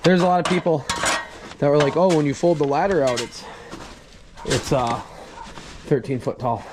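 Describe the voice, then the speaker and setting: A man's voice: on the high side, moderate pace. A man hosting a farming vlog, chatting casually and thinking aloud in a folksy, rambling way as he works. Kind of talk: casual chat to camera while working. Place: outdoors and around vehicles. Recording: outdoor noise, engine and vehicle sounds.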